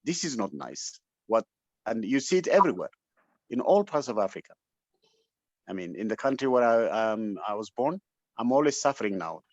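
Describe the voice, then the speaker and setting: A man's voice: on the low side, sing-song, normal speed, a little mellow, no echo. Man, Ethiopian accent, impassioned speaker, exhortative, repeats for emphasis, dry close sound, muffled lo-fi online call. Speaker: webinar speaker. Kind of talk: impassioned motivational address, largely unscripted. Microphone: online call microphone. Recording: dull, lo-fi webinar audio.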